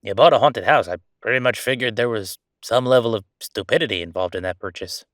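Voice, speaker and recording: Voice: medium pitch, a little fast, no room sound, studio quality, close to an everyday voice. An actor playing a chatty, sardonic ghost roommate in a roleplay audio, talking in a casual, teasing, exclamatory way. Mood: incredulous, teasing. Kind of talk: roleplay audio acting, conversational.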